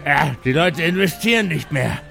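A man's voice: fairly high pitch, expressive, normal speed, vocal fry, fairly bright tone. A man voicing a well-off, courteous dwarf banker who grumbles wearily in a tabletop game, with genteel manners and sighing interjections. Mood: grumbling. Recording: music playing behind the voice.

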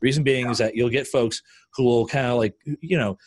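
A man's voice: mid-range voice, fairly quick, dry recording. Man hosting casually, close dry sound. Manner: animated storyteller, acting out quotes. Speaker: podcast host leading a panel discussion. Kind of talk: casual conversational talk.

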